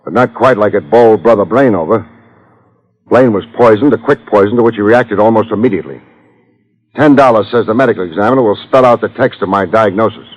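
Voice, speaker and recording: Brief: male actor as hardboiled radio gumshoe, sardonic and clipped, low husky voice, muffled vintage broadcast sound. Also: fast talker, close to an everyday voice. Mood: grim, certain. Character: wry, tough, wisecracking male private detective. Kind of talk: 1950s radio detective drama, first-person narration and dialogue. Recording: muffled old radio recording with music.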